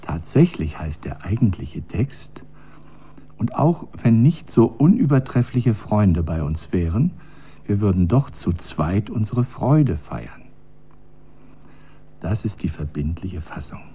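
Very husky, deep-voiced court scholar. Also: male voice, big pitch swings, slow pace, warm tone, full character voice. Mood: dry, precise. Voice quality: husky, breathy. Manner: precise, bookish. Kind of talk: radio drama monologue.

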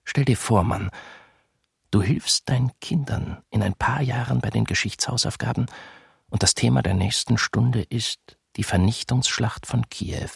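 An actor playing a homesick German soldier in his early thirties, reading his diary in a radio docudrama with husky, measured delivery. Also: mid-range voice, dry recording, studio quality, full character voice. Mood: brooding, grim. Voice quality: husky, gravelly.

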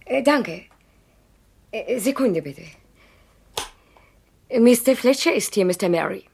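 Agency secretary: fairly high voice, brisk, snippy, radio drama with slight background noise. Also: female voice, lively intonation, gravelly, a little mellow, heavily acted. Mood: businesslike. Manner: brisk, businesslike. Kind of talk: 1970s German radio play acting.

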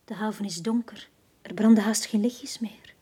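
An actress playing a lonely, pleading young woman, frightened yet proud, with a breathy, husky voice and a fragile intensity in a radio drama. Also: medium pitch, moderate pace, warm tone. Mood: longing. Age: young adult.

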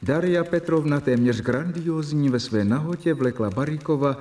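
An actor narrating in the first person in a radio play, measured and storytelling. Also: male voice, fairly high pitch, darkish tone, close to an everyday voice.

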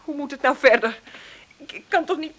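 An actress in a radio drama playing a proud, nervous, indignant mother living on welfare, with a halting, agitated delivery. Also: high voice, natural voice. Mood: desperate. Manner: halting, agitated, repeats words. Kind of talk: naturalistic Dutch radio drama acting.

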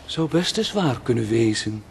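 A man's voice: medium pitch, very animated, moderate pace, creaky, slight background noise. An actor playing a drowning man in a radio play, wry and musing as he rambles about death. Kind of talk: Dutch radio play acting.

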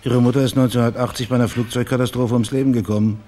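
An actor playing a wry, persistent private investigator in a radio crime drama, with a dry, understated manner. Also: male voice, medium pitch, little pitch movement, rapid-fire, warm tone, lightly acted. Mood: dry, probing.

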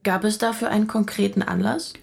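A woman's voice: mid-range voice, steady tone, brisk, vocal fry. A woman playing a polite police inspector in a radio drama, questioning in a careful, businesslike way. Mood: probing. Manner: probing, hesitant, businesslike. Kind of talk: German radio drama acting.

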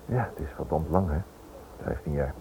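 An actor playing a smooth, prying writer in a radio play, polite but insinuating and persistent. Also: male voice, extremely low pitch, expressive, normal speed, warm tone. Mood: insinuating, probing. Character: smooth, prying male writer probing a prisoner's wife. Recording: noisy, dull old radio drama recording.

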